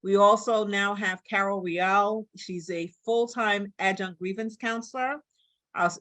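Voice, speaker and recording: A woman's voice: medium pitch, a bit bright, dry recording. Woman presenting, dry close sound. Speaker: union staff presenter. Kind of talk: workshop presentation.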